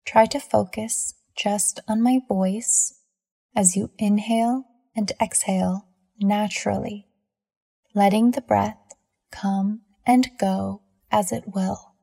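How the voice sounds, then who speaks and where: A woman leading a scripted guided meditation in a measured, soothing manner with deliberate pauses between phrases. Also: mid-range voice, fairly even intonation, gravelly, warm tone, husky.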